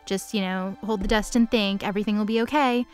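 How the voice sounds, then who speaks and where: A woman's voice: medium pitch, fairly even intonation, a little fast, clear, resonant tone, faint music behind, close to an everyday voice. A woman voicing a breezy, evasive goddess who is airy and falsely reassuring in a comedic recap.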